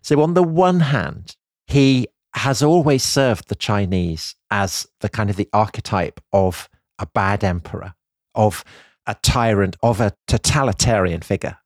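A man's voice: on the low side, expressive, measured pace, dry recording, clean studio sound. A man co-hosting a history podcast, explaining at length in a thoughtful way and often hedging with "I think.". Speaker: podcast co-host.

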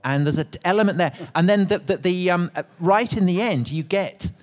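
A man co-hosting a live talk, speaking in an animated, emphatic way with mock indignation. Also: high voice, moderate pace. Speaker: podcast co-host at a live show. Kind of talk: lively live conversation.

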